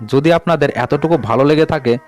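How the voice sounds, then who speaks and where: A man narrating a scripted ghost story in a measured way, sinking lower and quieter at the grim moments.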